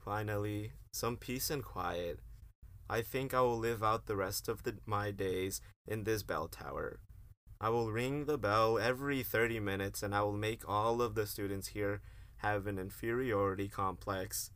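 Young man as grandiose philosophy-major hero for comedy radio play; lowish voice, mock-heroic, slangy. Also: measured pace, fairly bright tone. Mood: megalomaniac. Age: young adult. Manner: pompous mock-heroic declamation mixed with slang.

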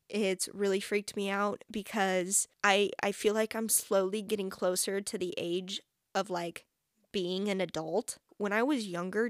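A woman's voice: mid-range voice, a bit bright, dry recording, clean studio sound. A woman in her twenties hosting a podcast solo, chatting casually and informally with a lot of "like" sprinkled in. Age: 20s. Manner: chatty, informal, heavy use of "like". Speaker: podcast host.

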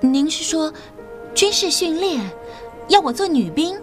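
A woman's voice: sits high, animated, unhurried, faint music behind. An actress playing an earnest young female schoolteacher in an audio drama, polite, careful and formal in the way she speaks. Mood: surprised questioning. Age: young adult.

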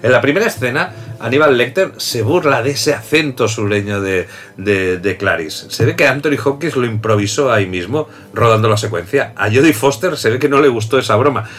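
A man's voice: medium pitch, expressive, fairly quick, fairly bright tone, a little hiss or hum. A man hosting a casual film chat, talking loosely, laughing often and restarting sentences. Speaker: host. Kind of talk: casual chat about films.

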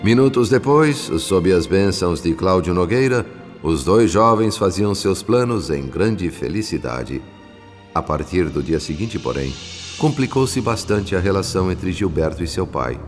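A male actor narrating a radio drama with a Brazilian accent in a measured, solemn, literary manner. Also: low voice, expressive, in a large hall. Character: solemn male narrator telling a moral family story. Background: soft music.

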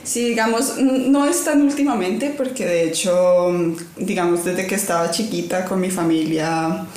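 A young woman speaks in a slightly low voice. She is reflective and easygoing, often pausing to find her words. The sound carries a noticeable room echo. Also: a little mellow, a little hiss or hum. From Colombia. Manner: thoughtful, many fillers and restarts, laughs easily. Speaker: guest, designer. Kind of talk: conversational interview.

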